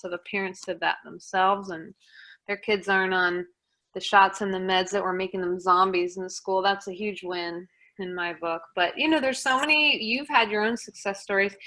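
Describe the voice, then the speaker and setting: A woman's voice: mid-range voice, pushed and bright. A woman leading a casual group chat, giving encouraging advice. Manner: encouraging, advising.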